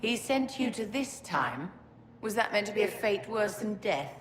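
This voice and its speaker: An actress playing a haughty, elegant sorceress in a video game, imperious and coldly sardonic. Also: female voice, mid-range voice, animated, natural voice. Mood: suspicious, scornful. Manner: imperious, condescending, measured. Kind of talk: dramatic video game cutscene acting. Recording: echoey, clearly noisy background.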